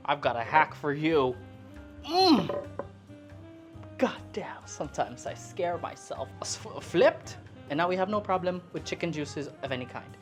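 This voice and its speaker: A woman's voice: deep voice, sing-song, measured pace, faint music behind. A woman in her twenties from the Philippines, chatting casually and self-deprecatingly while she cooks in her home kitchen. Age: 20s. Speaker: cooking vlogger. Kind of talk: casual rambling chat while cooking.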